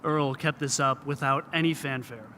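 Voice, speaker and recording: A man's voice: mid-range voice, steady tone, moderate pace, bright, forceful tone, slight background noise. A man reading scripted narration as a radio reporter.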